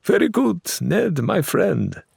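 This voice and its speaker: A man voicing a genial, greying older adventurer in an audiobook, courteous and gently teasing with a lilting politeness. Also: sits high, very animated, slow, vocal fry, slightly rough, no room sound, clean studio sound, voice pushed up, full character voice. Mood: happy, gently chiding. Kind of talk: audiobook character voice.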